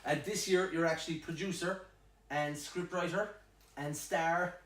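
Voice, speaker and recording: A man's voice: fairly high pitch, fairly even intonation, a little slow, a bit bright. A man with an Irish accent hosting a casual chat interview with jokey banter. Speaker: interviewer, host. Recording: echoey room, distant mic.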